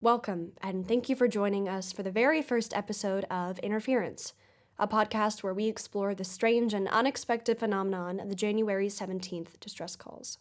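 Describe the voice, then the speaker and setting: An actress as a grave woman journalist hosting an investigative podcast. She narrates from a script at a deliberate, measured pace, serious and earnest throughout. Her pitch sits in the middle for a woman. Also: steady tone, natural voice. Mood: grave, ominous.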